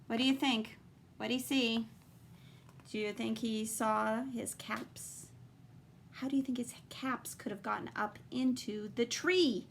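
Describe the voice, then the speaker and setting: A woman reading a children's picture book aloud as a teacher, expressive and deliberate, addressing young listeners directly. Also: on the high side, gravelly, a little hiss or hum. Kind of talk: children's storybook read-aloud.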